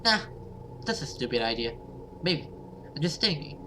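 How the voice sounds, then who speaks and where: A man's voice: fairly high pitch, big pitch swings, normal speed, background noise. A man reading a horror story aloud as a gamer, narrating and voicing dialogue, sometimes stumbling and rereading. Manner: stumbles over words and rereads.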